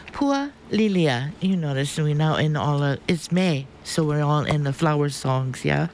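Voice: medium pitch, a little mellow, slight background noise. A radio music host, chatting casually off the cuff in a rambling, conversational way. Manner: rambling, conversational, ends remarks with "yeah?". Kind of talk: casual unscripted music commentary.

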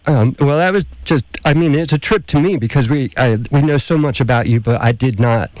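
A middle-aged man hosting a casual community radio interview, chatty and halting with frequent restarts and fillers. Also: mid-range voice, a little mellow, no echo, rough recording. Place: island community radio station.

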